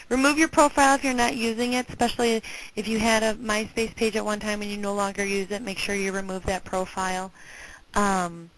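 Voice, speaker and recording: A woman's voice: mid-range voice, a bit bright. Woman presenting over a noisy conference call. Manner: conversational, directive, checks in often with "okay". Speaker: presenter, alumni relations career staffer. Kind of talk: informal instructional presentation.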